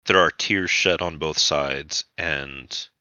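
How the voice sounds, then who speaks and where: Man, extremely low pitch, sharp intense tone, game master narration, measured, close dry sound, dulled recording. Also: smooth, no room sound, muffled recording. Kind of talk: narrating a tabletop vampire roleplaying game.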